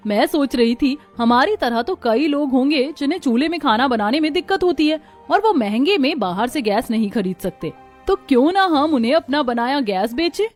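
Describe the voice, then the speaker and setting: Woman acting earnest village daughter-in-law, high voice, expressive homely cartoon dialogue, music behind.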